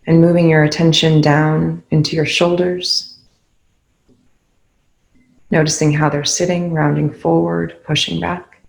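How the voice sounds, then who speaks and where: Meditation guide, calm and instructive, mid-pitched with noticeable vocal fry, leaving space between phrases. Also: unhurried, long pauses, rough recording.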